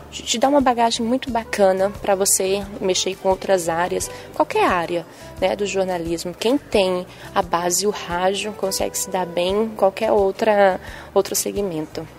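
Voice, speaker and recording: A young woman TV presenter speaks with a softened Bahian accent and a sing-song lilt. She often ends her phrases with tag questions. Her voice carries a gravelly, creaky edge, and faint music plays underneath. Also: mid-range voice. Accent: Bahian Brazilian Portuguese. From Bahia, Brazil. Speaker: TV journalist and presenter. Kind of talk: interview answers.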